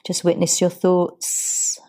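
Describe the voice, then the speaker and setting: A woman teaching a yoga class, guiding the poses and breathing in a soft, soothing, unhurried way. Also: on the low side, monotone, poor audio. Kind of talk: guided yoga class instruction.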